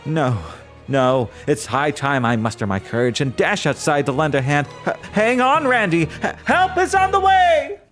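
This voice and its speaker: A man playing an excitable, earnest small-town radio reporter with a florid, theatrical way of narrating. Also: a little high, moderate pace, soft background music. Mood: alarmed excitement. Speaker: host and narrator of an audio drama. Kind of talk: old-time radio style dramatic narration.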